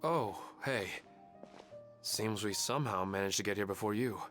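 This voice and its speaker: A man's voice: fairly low pitch, moderate pace, fairly bright tone, soft background music, close to an everyday voice. An actor playing an easygoing, slightly puzzled ordinary man in a video game, casual and conversational.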